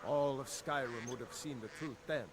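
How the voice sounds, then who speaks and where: A man playing a weary, earnest rebel soldier in a video game, with loud noise behind him. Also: mid-range voice, moderate pace, lightly acted. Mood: grave, amazed. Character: weary, grateful rebel soldier, a devoted brother. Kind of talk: video game dialogue acting.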